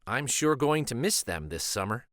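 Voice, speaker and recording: A man voicing a kindly, wistful woman schoolteacher in a storybook, with a gentle, sentimental manner. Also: medium pitch, very animated, moderate pace, no room sound, clean studio sound.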